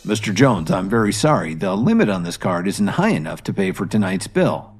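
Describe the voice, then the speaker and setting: A man playing a polite, unflustered hotel employee, courteous and measured, in an audio drama. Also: deep voice, very animated, breathy edge, full character voice. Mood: polite, firm.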